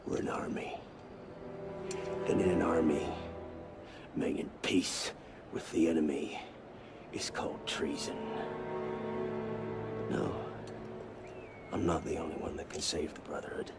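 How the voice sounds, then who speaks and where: An actor playing an embittered, zealous veteran assassin in a video game, speaking with intense, menacing conviction in a gravelly voice. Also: male voice, very deep voice, little pitch movement, measured pace, pauses often, over loud music, heavily acted. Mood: low, grave. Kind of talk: dramatic video game cutscene acting.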